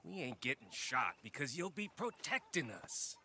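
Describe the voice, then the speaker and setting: A man's voice: medium pitch, very animated, normal speed, sharp tone, a little hiss or hum. An actor playing a sly, insolent outlaw gunman in a video game drama, needling and sardonic with a drawl. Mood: goading.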